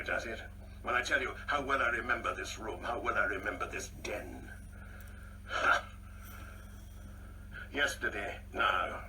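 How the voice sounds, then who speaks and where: Proud insecure old guy, radio play monologue, really sharp forceful voice, pompous, bit far from the mic w faint noise. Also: medium pitch, full character voice. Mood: accusing, indignant, then proud reminiscence. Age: older. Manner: pompous, precise, theatrical, with insistent repetitions. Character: proud, insecure ageing man, bitterly reminiscing and taunting.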